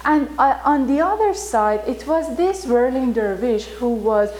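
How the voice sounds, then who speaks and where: A woman from Iran whose first language is Turkish tells a story in an informal talk, halting with many fillers. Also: high-pitched, lively intonation, a little mellow, poor audio. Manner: halting, many fillers, vivid retelling with acted quotes. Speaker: storyteller teaching about Rumi.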